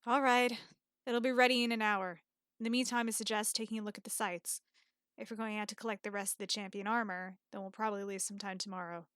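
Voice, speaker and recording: A woman's voice: mid-range voice, steady tone, fast talker, a bit bright, clean tone, no echo, studio quality, natural voice. A woman playing a businesslike, confident fantasy swordswoman and blacksmith, matter-of-fact, in an audiobook drama. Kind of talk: audiobook drama character acting.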